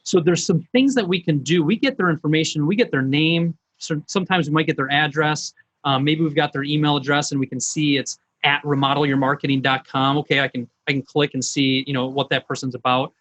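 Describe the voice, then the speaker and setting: A man's voice: fairly high pitch, steady tone, fairly quick, fairly bright tone. A middle-aged man, a business coach, presenting online in an informal, folksy, conversational way.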